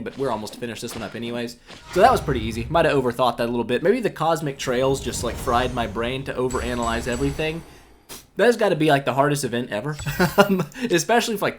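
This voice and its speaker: A young man giving relaxed, rambling commentary while playing a mobile game, a bit congested from a cold, with lots of filler words and self-mocking asides. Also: medium pitch, quick pace, soft background music. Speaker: gaming YouTuber. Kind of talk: casual gameplay commentary.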